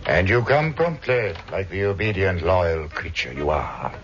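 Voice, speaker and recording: An actor playing a suave, cruel criminal mastermind with silky, formal, mocking politeness, in 1960s radio drama style. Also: male voice, low voice, expressive, unhurried. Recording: muffled vintage radio recording, faint noise.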